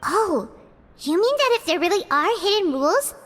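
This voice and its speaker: A woman voicing a small, childlike, excitable fairy girl with a squeaky voice in a video game. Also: moderate pace, slight background noise, exaggerated voice. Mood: alarmed, curious. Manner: excitable, exclaiming, refers to self in third person. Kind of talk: video game cutscene voice acting.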